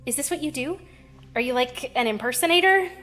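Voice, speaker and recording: An actress plays a defiant young woman in an audio drama. Her high voice is bright and forceful, emphatic and taunting, quick to challenge. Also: expressive, soft background music. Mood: cold, challenging.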